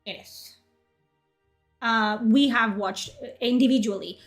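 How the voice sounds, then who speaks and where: Young woman from Spain, native Spanish speaker, loose chatty streamer, slightly high voice, faint music bed. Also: unhurried. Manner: chatty, rambling, reads chat aloud. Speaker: livestream host. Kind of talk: casual livestream chat.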